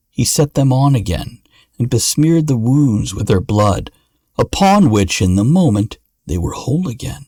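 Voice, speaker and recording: A man narrating a fairy tale in a measured, dramatic storytelling manner. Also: mid-range voice, a little mellow, slightly rough, no echo, clean studio sound. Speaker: podcast narrator.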